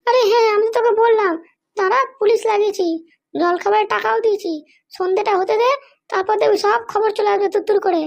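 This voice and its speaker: A scheming madam from a comedy cartoon, voiced by an actor, squeaky and extremely high like a child's voice. The talk is quick, bossy and threatening, full of ruthless self-justifying ambition. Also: steady tone, gravelly. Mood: conspiring, confident. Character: scheming, power-hungry madam, ruthless mother seeking political power.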